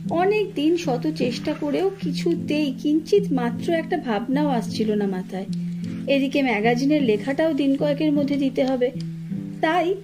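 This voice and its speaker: A woman reading a first-person horror story in an expressive, dramatic storytelling manner. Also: high voice. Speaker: story narrator. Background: ambient sound effects.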